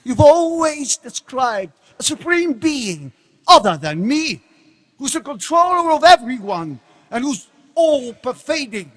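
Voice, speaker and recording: A man's voice: very high-pitched, big pitch swings, measured pace, heavily acted. A man playing an enraged, arrogant demon king and tyrannical father in a theatrical dramatic reading, imperious and menacing. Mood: scornful, threatening. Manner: imperious, theatrical, swinging from menacing hush to shouts. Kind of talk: dramatic scripture reading on stage. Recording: long hall reverb.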